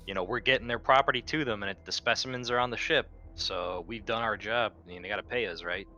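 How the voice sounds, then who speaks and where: A man with a very low but bright, forceful voice talks casually as a player in a roleplaying game. The audio is a little dull, with faint music underneath. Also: fast. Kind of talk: casual in-character table talk.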